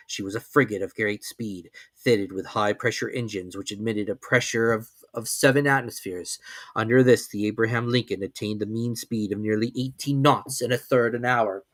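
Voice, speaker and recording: A man's voice: fairly low pitch, fairly even intonation, normal speed, a bit bright, dry recording. A man giving a theatrical, formal dramatic reading of an adventure novel as its narrator. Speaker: narrator, reader.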